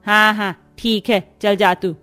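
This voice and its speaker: A woman's voice: medium pitch, fairly even intonation, slow pace, sharp tone, soft background music. Woman doing a bossy older mother-in-law. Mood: matter-of-fact. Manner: coaxing to favourite, scolding to others. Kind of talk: cartoon family drama voice acting.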